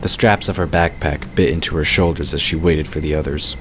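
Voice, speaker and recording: Male narrator for scripted fantasy audio drama, deep register, slight background noise. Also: steady tone, brisk.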